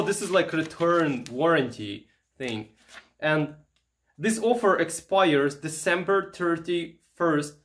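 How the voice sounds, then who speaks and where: A man giving casual, unscripted show-and-tell commentary, halting and musing aloud as he handles things. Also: on the high side. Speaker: vintage electronics vlogger.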